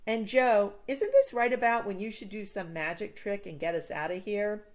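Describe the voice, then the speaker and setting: A woman's voice: mid-range voice, animated, moderate pace, natural voice. A woman voicing a wry, know-it-all schoolboy with a dry, sarcastic manner in a storybook. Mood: dry sarcasm.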